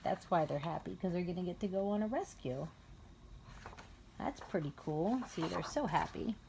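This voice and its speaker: A woman's voice: lowish voice, lively intonation, normal speed, vocal fry, darkish tone. Mother reading a storybook over background noise. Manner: explains simply and patiently to a young child. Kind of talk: read-aloud story narration with casual asides to a child.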